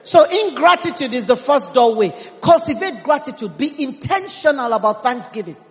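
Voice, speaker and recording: A woman's voice: sits high, very animated, moderate pace. Nigerian woman preaching in a reverberant hall. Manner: emphatic, humorous preaching. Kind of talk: sermon. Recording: long reverb in a big hall.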